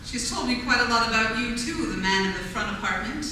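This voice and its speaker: A woman playing a practical, skeptical working mother in an old-time radio play, with a brisk, dry manner. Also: fairly high pitch, lively intonation, background noise, close to an everyday voice. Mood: guarded, polite. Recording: echoey stage, distant, music bleed.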